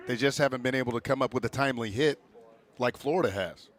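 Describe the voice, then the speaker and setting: Man, American accent, baseball color analyst, mid-pitched voice, conversational commentary. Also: quick pace, a bit bright.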